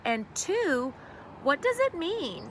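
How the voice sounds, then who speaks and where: Expressive woman reading aloud, noisy background. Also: very high-pitched, slow. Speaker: teacher reading to a class. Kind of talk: expressive read-aloud storytelling to children.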